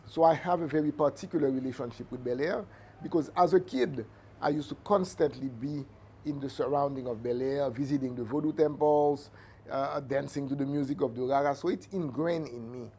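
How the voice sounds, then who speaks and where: A middle-aged man with a Haitian accent giving reflective interview answers as a Vodou priest, measured and halting as he searches for words. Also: medium pitch, mellow. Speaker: Vodou priest and cultural entrepreneur. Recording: noticeable background ambient noise.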